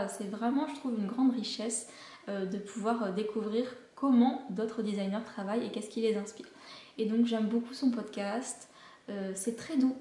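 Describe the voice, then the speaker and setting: A woman's voice: a little high, mellow, echoey room. A woman hosting a knitting podcast, chatting casually about shows she recommends, with many hesitations. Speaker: knitting podcast host and pattern designer.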